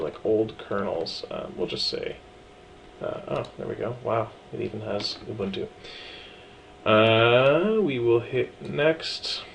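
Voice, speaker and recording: A man casually talking through a software setup tutorial, relaxed and chatty with frequent ums and small asides. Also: lowish voice, creaky, slight background noise. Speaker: tutorial presenter. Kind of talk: screen-recorded software walkthrough.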